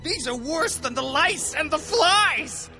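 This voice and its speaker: A woman playing an anxious, whining royal courtier in an animated film, in an exaggerated manner. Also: high-pitched, slow, over music, full character voice. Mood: disgusted. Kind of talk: animated film character acting.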